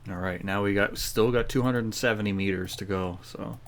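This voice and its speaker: A man's voice: fairly low pitch, fairly even intonation, brisk. A man giving casual, chatty commentary as he streams a game playthrough, in a laid-back manner. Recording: faint game sound behind voice.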